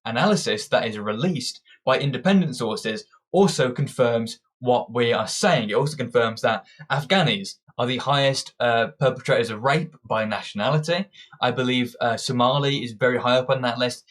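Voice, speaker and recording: A man's voice: mid-range voice, moderate pace, a bit bright. A young man giving an opinionated political monologue, combative and ranting, often restarting his sentences. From Britain. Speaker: political commentator.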